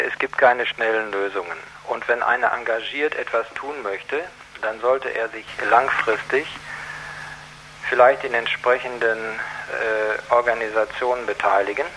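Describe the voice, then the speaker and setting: A man speaking as an activist guest in a radio interview, explaining things earnestly and deliberately, with hesitations. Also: medium pitch, a bit bright, slight background noise, poor audio. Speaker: activist guest against child labour.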